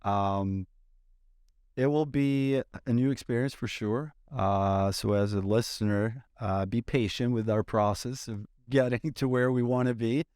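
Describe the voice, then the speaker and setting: A man, hosting a casual podcast in a relaxed, thoughtful way, pausing to find his words. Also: medium pitch, clean tone, dry recording, studio quality. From Sweden. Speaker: podcast host.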